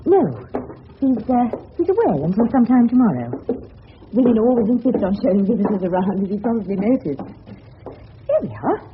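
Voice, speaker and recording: Gushing English suburban wife, coaxing and genteel; radio drama, dull, noisy old recording. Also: female voice, fairly high pitch, very animated, fairly quick. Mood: gushing, chatty. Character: seductive suburban married woman, gushing genteel hostess. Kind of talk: 1970s radio drama acting.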